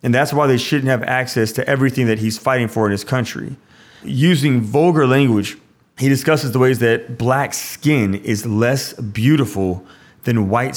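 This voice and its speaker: A man of middle pitch, a priest, explains scripture in a loose, conversational way. He restarts his sentences often and drops in casual "like" asides as he builds his point.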